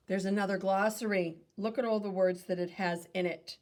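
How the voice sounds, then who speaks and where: A woman reading a children's nonfiction book aloud in a teacherly way, pausing for questions and small self-corrections. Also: mid-range voice, darkish tone. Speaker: read-aloud reader and teacher.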